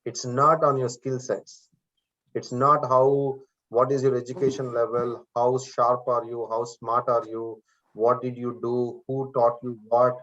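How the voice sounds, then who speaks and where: A man's voice: medium pitch, fairly bright tone. Indian-accented male instructor, frank and repetitive, on a muffled video call. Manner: direct, repeats himself, frank motivational tone. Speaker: course instructor. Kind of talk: online class coaching talk. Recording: dull lo-fi video call audio.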